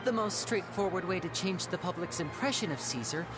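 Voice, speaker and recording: A woman acting a suave, calculating young male stage magician in a video game, polite, measured and theatrical. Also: lowish voice, lively intonation, very noisy, natural voice. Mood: coy, confident.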